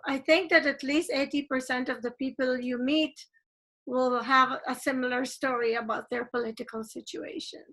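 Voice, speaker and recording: A woman's voice: sits high, steady tone, normal speed, a bit bright. A woman from Guyana hosting a casual video-call interview, chatty and eager, her statements often rising like questions. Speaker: interview host.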